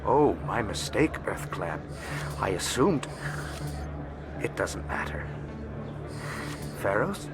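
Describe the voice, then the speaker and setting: Low-voiced, expressive alien merchant. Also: male voice, slow pace, pauses often, noisy background, exaggerated voice. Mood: courteous, salesy. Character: courteous alien merchant, measured and salesy. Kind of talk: video game character acting. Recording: music behind the voice.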